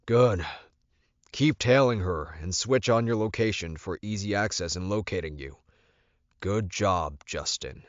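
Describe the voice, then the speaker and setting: A man voicing a gruff, commanding male werewolf alpha who barks curt orders in an audiobook. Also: sits low, measured pace, no room sound, highs cut off. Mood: approving, commanding.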